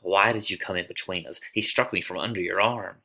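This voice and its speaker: Man playing a bitter, deep-voiced dying swordsman. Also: brisk, creaky, sharp tone, a little hiss or hum, heavily acted. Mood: bitter cursing. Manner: bitter, declamatory. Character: dying swordsman, bitter, wry, cursing young gallant. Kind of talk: dramatic play reading.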